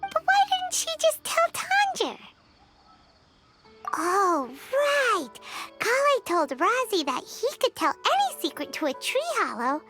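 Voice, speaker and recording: A woman voicing a tiny, childlike floating fairy companion in a video game, squeaky, excitable and prone to worry. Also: slow pace, exaggerated voice. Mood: puzzled. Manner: exclamatory, refers to self by name. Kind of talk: video game character acting. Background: background music.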